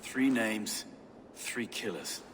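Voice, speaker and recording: A man's voice: on the low side, animated, slow pace, a bit bright, a little hiss or hum. An actor playing an earnest, pious, anxious male medieval reeve in a video game, speaking formally and solemnly. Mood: uneasy. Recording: long stone-hall reverb, faint ambience.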